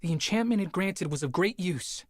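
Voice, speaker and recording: An actor playing a stern, dutiful young Spartan warrior with a curt, disciplined manner in a video game drama. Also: male voice, sits high, lively intonation, moderate pace, dry recording, studio quality.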